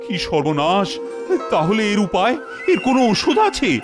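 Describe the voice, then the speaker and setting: A man voicing an excitable, imperious Indian king in an animated comedy, with theatrical, exclamatory delivery. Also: high voice, moderate pace. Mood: impatient. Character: flustered, excitable Indian king holding court. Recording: loud background music.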